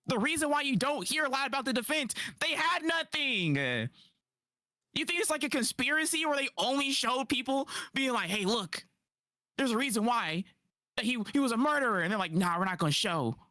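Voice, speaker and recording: A man doing casual livestream reaction commentary, incredulous and quick to rebut, on a close mic. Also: extremely high pitch, no echo. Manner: animated, incredulous, rapid rebuttals.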